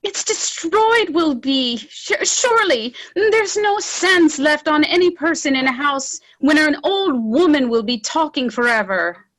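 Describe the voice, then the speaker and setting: Casting: actress as capable young country daughter, high-pitched, earnest, reproachful, rural tragedy. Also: female voice, lively intonation, unhurried, hardly any pauses, a bit bright, poor audio. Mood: dismayed. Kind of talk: stage drama read as virtual play.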